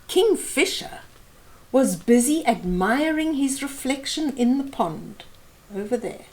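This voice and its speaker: A middle-aged woman reads a picture book aloud for small children. Her fairly high voice swings up and down as she goes slowly, pausing to explain the pictures. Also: lively intonation, darkish tone, rough recording. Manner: deliberate, explaining pictures to young listeners. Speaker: children's storytime host. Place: small indoor room.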